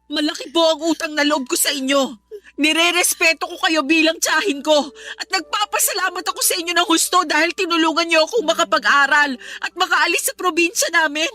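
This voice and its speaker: High, bright-voiced wounded niece. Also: female voice, a little fast. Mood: tearful, aggrieved. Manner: aggrieved, pleading yet firm. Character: wounded, resentful young married niece standing her ground. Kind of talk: Tagalog radio drama acting.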